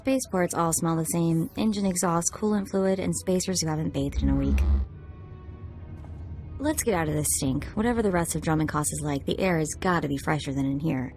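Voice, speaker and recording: A young, spirited sidekick trades quick, wry remarks in a game scene. Her voice sits slightly low and lively. Soft music hums behind. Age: young adult.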